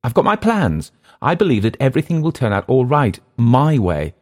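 A man's voice: medium pitch, huge pitch swings, moderate pace, voice pushed up. A man voicing a naive, eager young English adventurer for an audiobook, with a hesitant, apologetic, stammering manner and an English accent. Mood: uneasy, evasive. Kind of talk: audiobook character dialogue.

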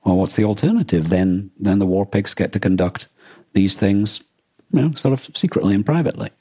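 Deep, dark-voiced man talking earnestly. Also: lively intonation, brisk, breathy edge, no echo. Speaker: podcast co-host. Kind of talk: reflective conversational discussion.

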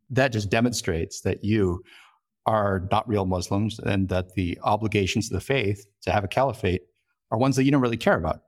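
A man giving a conversational expert explanation as an interview guest, articulate but often repeating words as he goes. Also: on the low side. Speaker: interview guest, foreign correspondent and lecturer.

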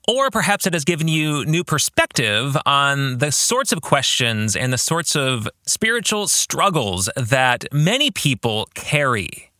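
A man in his forties hosting a podcast in a scripted monologue, with a deliberate, emphatic presenter's delivery. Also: fairly high pitch, sharp tone, no room sound, studio quality. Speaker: podcast host and author.

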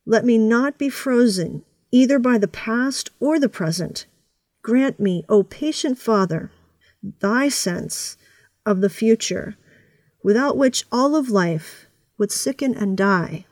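A woman hosting a reflective devotional podcast, speaking earnestly and with deliberate phrasing. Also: on the high side, a little mellow, no echo. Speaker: podcast host. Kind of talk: reflective spoken devotional with read prayer.

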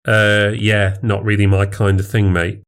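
A man's voice: lowish voice, little pitch movement, measured pace. A man voicing an awkward, flustered male friend in audiobook dialogue, hesitant and affronted.

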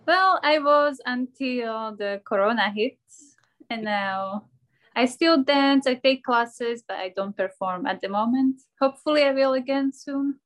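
Woman, English, cheerful, slightly high voice, interview guest. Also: a little slow. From Slovakia. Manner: cheerful, laughs often, drops articles. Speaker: ballet dancer and personal trainer. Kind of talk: casual interview answers.

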